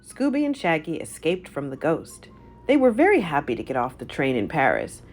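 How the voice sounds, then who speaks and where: Female children's read-aloud narrator, lowish register, animated intonation, soft music underneath. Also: normal speed.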